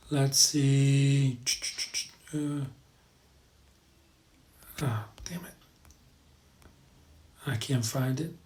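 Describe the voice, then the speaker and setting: A man's voice: on the high side, a little mellow. A man hosting a casual livestream, chatting informally to viewers and rambling as he repeats his advice for emphasis. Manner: informal, addresses viewers as guys. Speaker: live show host. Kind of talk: casual livestream chat, reading viewer comments.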